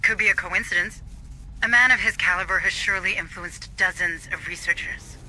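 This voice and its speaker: A calm mission officer on comms. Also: male voice, sits high, poor audio. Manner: measured, reassuring. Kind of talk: video game dialogue.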